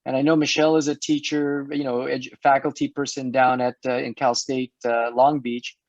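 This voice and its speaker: A middle-aged man hosting an online health talk, chatty and hoarse, often restarting his words and laughing. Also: medium pitch, level tone, normal speed, dry recording. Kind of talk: casual panel chat. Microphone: video call. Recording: dull dry video-call audio.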